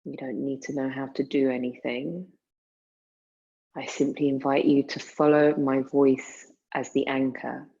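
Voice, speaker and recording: A woman guiding a meditation in a soothing, unhurried manner, leaving long pauses between phrases. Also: very deep voice, little pitch movement, vocal fry, dark tone, breathy edge, muffled recording. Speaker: yoga nidra meditation guide. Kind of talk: guided meditation.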